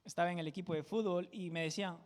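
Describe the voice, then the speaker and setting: A man with a fairly high voice and a Peruvian jungle accent teaches scripture verse by verse. His delivery is conversational, and he keeps tossing out quick check-in questions to the congregation. The sound carries a long, echoey church-hall reverb. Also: steady tone. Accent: Peruvian jungle (selva) Spanish. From Peru. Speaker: pastor teaching a Bible study. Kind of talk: verse-by-verse Bible teaching sermon.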